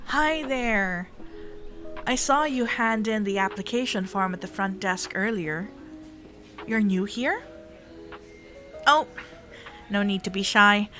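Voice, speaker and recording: Woman acting a bright, unhurried, friendly cuddle club welcomer. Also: mid-range voice, soft background music. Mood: warm, welcoming. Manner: gentle, reassuring, playful, hesitant soft-spoken chattiness. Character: friendly, teasing female cuddle club member welcoming a shy newcomer. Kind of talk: roleplay audio drama, spoken directly to the listener.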